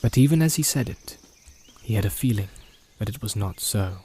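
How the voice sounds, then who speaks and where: A man narrating a fantasy audiobook as a deliberate, atmospheric and suspenseful storyteller. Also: fairly low pitch, animated, mellow, slight background noise. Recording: home-made, nature soundscape mixed behind. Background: animal and nature sound effects.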